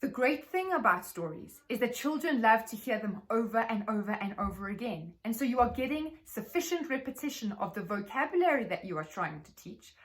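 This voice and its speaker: A South African woman giving an instructional talk to teachers as a trainer, explaining in a measured, articulate way. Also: a little high, poor audio.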